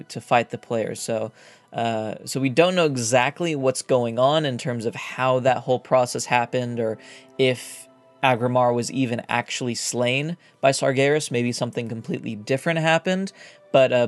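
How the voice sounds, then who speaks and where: A man hosting a gaming video, explaining game lore in a casual, somewhat hesitant way. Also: medium pitch. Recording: voice over background music.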